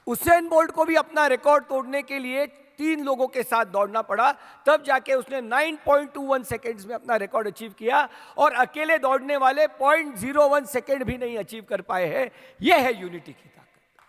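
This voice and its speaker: A man with an Indian accent giving a rousing motivational speech to his company's sales team, asking the crowd questions and building to shouts. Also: very high voice, normal speed. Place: large hall at a training event. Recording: long hall reverb.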